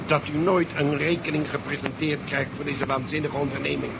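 An actor playing a stern, dutiful ship's commander in a 1970s science fiction radio drama, measured, dry and authoritative. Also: male voice, a little high, little pitch movement, creaky. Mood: dryly critical. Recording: muffled, noisy 1970s radio recording.

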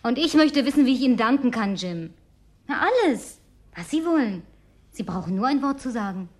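An actress playing a bossy, playful, high-spirited woman in a German radio play, with a commanding, teasing manner. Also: animated, normal speed, dry recording. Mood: defiant, then coaxing.

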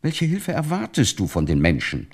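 A man's voice: mid-range voice, huge pitch swings, moderate pace, husky, full character voice. An actor playing a poor, pious, resigned teacher and father in a German radio play, lamenting with fatalism. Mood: indignant, resigned.

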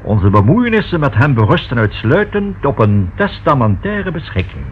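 A man's voice: medium pitch, sing-song, natural voice. A man acting a discreet, proper notary in a Dutch radio play, speaking formally and choosing precise words. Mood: guarded, polite. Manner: formal, measured, precisely worded. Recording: dull, faintly noisy old radio recording.

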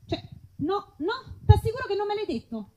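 A woman's voice: extremely high pitch, big pitch swings, normal speed, mellow. A woman comedian talking in a colloquial, hesitant and indignant way in a live stage routine. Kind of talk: conversational comic bit, mock phone call.

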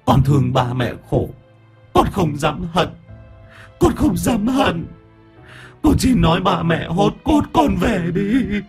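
A man voicing the weeping ghost of a young man, pleading through tears in a raised, breathy voice. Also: sits high, big pitch swings, slow, long pauses, darkish tone, soft background music, voice pushed up, full character voice. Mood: sobbing plea. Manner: sobbing, pleading.

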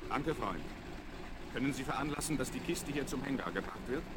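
An actor playing a self-assured, wry young aviator in a German children's radio play, breezy and dryly ironic. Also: male voice, medium pitch, lively intonation, a little fast, lightly acted. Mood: breezy, confident. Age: young adult. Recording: noisy vinyl radio-play recording.

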